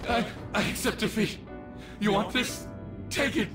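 A man's voice: high voice, measured pace. A ranting tyrant king. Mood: defeated. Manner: ranting and shouting, then begging and defeated. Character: cruel, arrogant male gym teacher's shadow king, later broken. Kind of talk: video game cutscene voice acting. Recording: game audio with loud music.

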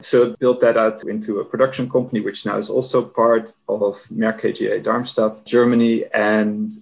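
A man's voice: fairly low pitch, level tone, moderate pace, gravelly, low-quality recording. A man with a Dutch accent, a company managing director talking casually as an interview guest, explaining with many fillers. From Netherlands.

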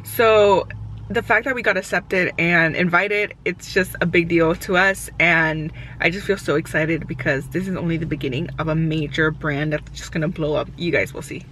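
A woman's voice: low-pitched, fairly even intonation, normal speed, a bit bright, slight background noise, rough recording. A young woman vlogging to a handheld phone camera in an upbeat, chatty way, talking about running a market booth. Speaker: vlogger and entrepreneur. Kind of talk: casual vlog to camera. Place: car, home and outdoor market. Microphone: phone camera held at arm's length.

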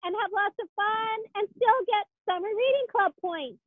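A woman voicing a sweet, encouraging young polar bear puppet in a children's puppet show, gentle and childlike, heard through thin call audio. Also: very high-pitched, moderate pace, no room sound, narrow, tinny audio. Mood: helpful, keen.

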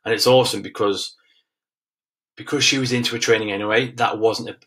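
A man's voice: on the low side, fairly even intonation, brisk, fairly bright tone. A man in his thirties giving an informal online fitness lesson in a direct, instructive way, repeating key phrases for emphasis. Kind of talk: informal webinar lesson with slides.

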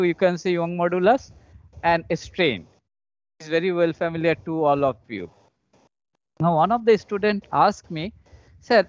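Male lecturer, Indian accent, high pitch, halting repetitive explanations, online class, muffled lo-fi sound. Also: no room sound. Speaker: engineering professor. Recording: dull lo-fi online call audio.

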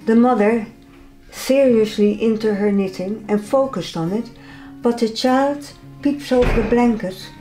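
An older woman from the Netherlands with a Dutch accent, calmly explaining her own paintings in her studio. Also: a little high, soft background music, low-quality recording. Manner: calm, measured, slightly non-native phrasing. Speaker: artist. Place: home art studio, indoors.

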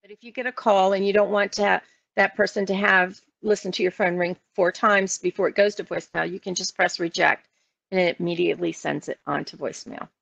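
Creaky-voiced American woman giving step-by-step training. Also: on the low side, fairly even intonation, fairly quick, fairly bright tone, dry recording. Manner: instructional, explanatory. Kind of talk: step-by-step software and phone training walkthrough. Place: online meeting screen-share, voice only. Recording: dull lo-fi online meeting audio.

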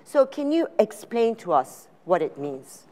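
Panel moderator, middle-aged woman; even mid voice, careful, pausing delivery on a conference stage. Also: medium pitch. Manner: measured, hesitant with many fillers. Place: conference stage before an audience.

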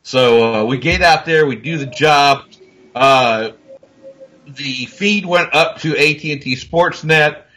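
A man's voice: on the high side, slow, pushed and bright, poor audio. A man in his forties chatting on a video call as a rugby pundit, emphatic and rambling with many restarts. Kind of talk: casual panel chat.

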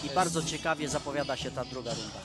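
A man's voice: medium pitch, fast talker. A man giving live wrestling commentary with hesitant phrasing, often repeating phrases for emphasis. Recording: loud music behind the voice. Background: loud music.

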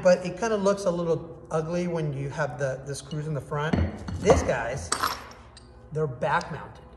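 A man in his thirties giving an upbeat, casual product review to a phone camera in a workshop. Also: on the high side. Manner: upbeat, enthusiastic, demonstrating with hands. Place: indoor workshop with hard walls. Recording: echoey room, faint background noise.

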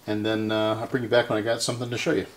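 An older man narrates his own repair work in a casual, think-aloud way. His voice is on the low side and gravelly, with faint noise in the workshop around him. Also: flat delivery, rapid-fire. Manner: unscripted, thinking aloud while working. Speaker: host repairing vintage radios. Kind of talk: casual hands-on repair vlog. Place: indoor electronics workbench. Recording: noisy workshop, clicking sounds.

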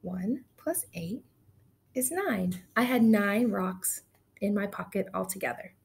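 Slow, upbeat teacher woman on webcam. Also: medium pitch, vocal fry. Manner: upbeat, counting beats aloud. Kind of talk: online class read-aloud. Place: small room indoors. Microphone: webcam on video call.

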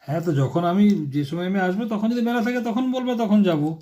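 Fast-talking young man, mellow and offhand. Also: high voice, expressive. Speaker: grown son on a phone call with his mother. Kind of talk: casual family phone chat.

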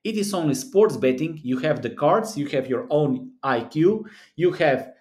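Man, 30s, English, slightly high voice, earnest, repetitive, hesitant fillers, webcam close-up. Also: animated, unhurried, a little mellow. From Europe. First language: a South Slavic language. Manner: earnest, repetitive, talks through numbers with many fillers. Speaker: sports betting analyst. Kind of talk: screen-recorded commentary. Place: small room indoors.

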